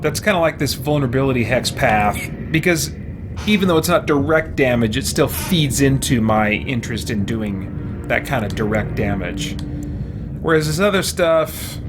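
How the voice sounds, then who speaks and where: Man thinking aloud over noisy game commentary. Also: medium pitch. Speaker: gaming show host. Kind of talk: casual let's play commentary. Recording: clearly noisy background ambience.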